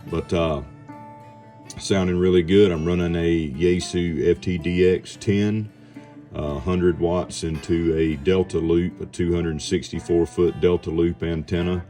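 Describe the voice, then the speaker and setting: Deep-voiced American man, radio hobbyist. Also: fairly even intonation, a little slow, dark tone, soft background music. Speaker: amateur radio operator hosting a vlog. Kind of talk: casual narration and radio contact talk.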